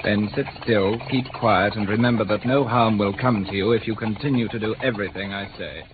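An actor playing a smooth, polite English gentleman kidnapper in an old British radio play. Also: lowish voice, fairly even intonation, a little fast, natural voice. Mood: cool menace. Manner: politely hesitant turning coolly threatening. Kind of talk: mid-20th-century British radio play acting. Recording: noisy, tinny old radio broadcast.

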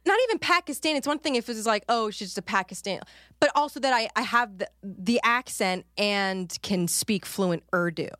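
A woman's voice: medium pitch, a little fast, pushed and bright, dry recording, studio quality. A woman co-hosting a podcast, telling an exasperated story with frequent laughs and swearing. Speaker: podcast co-host. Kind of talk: casual chat over video call. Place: recording studio.

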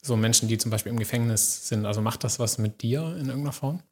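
A man's voice: medium pitch, fast, a little mellow. A man hosting a podcast interview, asking questions casually and hesitantly, with fillers. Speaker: podcast host.

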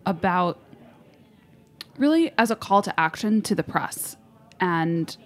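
Giggly lady cohost, a bit low-voiced, excited about what she read. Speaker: podcast co-host. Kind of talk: casual chat.

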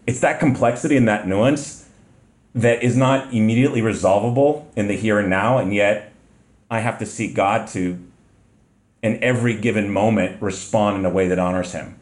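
A man speaking in an earnest, measured, reflective way as a podcast guest. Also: lowish voice, a little mellow, echoey room. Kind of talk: podcast interview.

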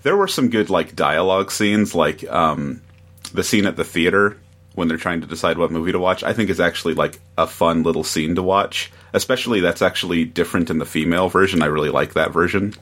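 Male guest, low-pitched voice, informal tentative chat. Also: fairly quick, fairly bright tone. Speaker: podcast guest. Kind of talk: casual chat.

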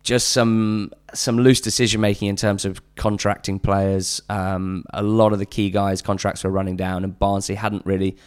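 A man's voice: fairly low pitch, level tone, normal speed, few pauses, a little mellow, smooth. An English man guesting on a football podcast, chatting earnestly with lots of fillers and restarts. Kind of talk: casual podcast chat.

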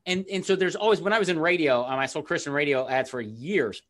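A man's voice: on the high side, quick pace, fairly bright tone. A man hosting an interview, enthusiastic and affirming, sharing personal stories. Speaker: interview host. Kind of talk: interview host, casual business chat.

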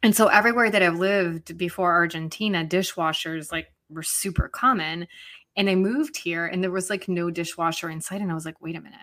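Woman, from Serbia, slightly low pitch, casual chat, expressive, reflective. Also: a little fast.

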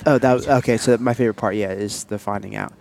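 A man co-hosting a casual couple's podcast, chatting in a relaxed, playful way, with sniffles from allergies. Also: quick pace, vocal fry. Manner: laid-back, playful, jumps up in pitch when excited. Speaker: podcast co-host.

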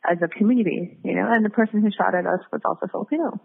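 A woman's voice: mid-range voice, very fast, vocal fry. A middle-aged American woman talking casually as an interview guest, candid and reflective, circling back to rephrase as she tells her story. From San Diego, United States. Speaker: guest, community activist. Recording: tinny phone-like audio.

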